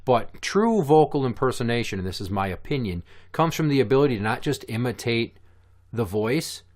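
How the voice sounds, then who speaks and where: A man hosting a podcast in casual chat, with a deep voice and a relaxed, opinionated way of talking. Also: moderate pace. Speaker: podcast host.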